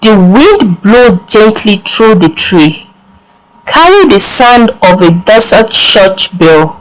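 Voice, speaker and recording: A woman narrates a scripted folktale at a very slow pace. Her pitch sits in the middle range, and her delivery is measured and solemn, like careful storytelling. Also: low-quality recording.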